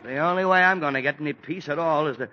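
Actor as an annoyed 1940s radio dad, raised and lively voice, muffled hissy recording. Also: male voice, fairly high pitch, fast, natural voice. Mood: exasperated. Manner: blustering, fussy and easily annoyed. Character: exasperated middle-aged father with a headache. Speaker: father of a teenage son.

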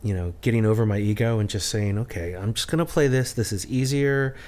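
Low-voiced man in casual chat. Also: a little mellow. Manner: rambling, self-deprecating, halting restarts. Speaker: podcast co-host.

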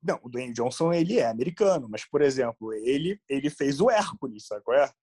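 A man, chatting casually as a podcast co-host, quick to agree and jump in. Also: mid-range voice, expressive, darkish tone, breathy edge, no room sound, clean studio sound. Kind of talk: casual chat.

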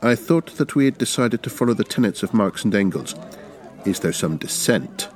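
A menacing revolutionary leader, played by a man reading a story speaks in a deep voice. His delivery is accusing and indignant, swinging widely in pitch as he presses his point. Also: expressive, moderate pace, lightly acted. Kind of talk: dramatic short story reading.

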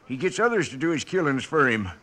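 An actor playing a folksy, hesitant American bartender in a 1950s Western radio drama. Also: male voice, on the high side, big pitch swings, quick pace, pushed and bright. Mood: awed. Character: nervous, folksy Old West saloon bartender. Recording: noisy vintage radio recording.

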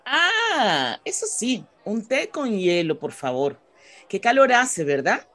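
A woman's voice: a little high, big pitch swings, slow pace, fairly bright tone, full character voice. A woman playing a polite, kindly and obliging schoolteacher in a radio drama. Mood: friendly.

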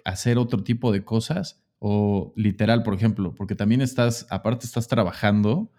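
Low-voiced, thoughtful male interviewer. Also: steady tone, normal speed, dark tone. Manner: hesitant, with many fillers and restarts, reflective. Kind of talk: casual interview.

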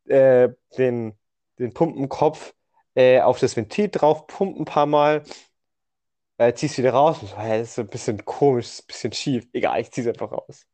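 A young man co-hosting a podcast in casual conversation, telling things in a halting way and often correcting himself. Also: medium pitch, mellow. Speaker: podcast co-host. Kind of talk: casual chat.

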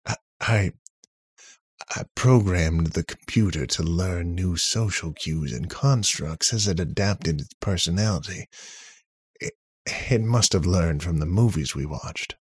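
A man voicing an awkward, defensive male inventor in a story, with a halting, stammering delivery. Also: low-pitched, breathy edge, no echo. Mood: flustered.

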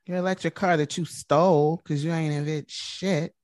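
A woman's voice: low-pitched, fairly even intonation, normal speed, a little mellow. A woman co-hosting a comedy podcast, crass and often laughing through her words. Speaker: podcast co-host. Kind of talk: casual comedic chat.

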